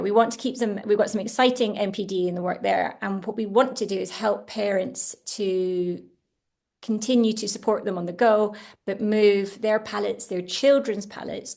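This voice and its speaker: A woman's voice: mid-range voice, creaky, a little mellow. A woman who founded a children's food brand, talking chattily and eagerly on a webinar panel, with many fillers and restarts. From Italian household. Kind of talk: casual panel discussion. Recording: webinar over video call.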